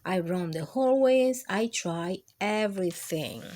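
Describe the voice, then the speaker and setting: A woman hosting storytime, reading a children's picture book aloud in an expressive, deliberate way. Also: medium pitch. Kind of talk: scripted storybook narration for children.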